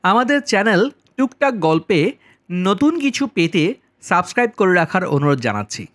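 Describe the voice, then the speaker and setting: A man narrating a vlog as its host, politely and in measured phrases, speaking directly to viewers. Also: sits high, very animated, fairly bright tone, no room sound. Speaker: travel vlogger and host. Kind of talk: vlog voice-over narration with review commentary. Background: background music.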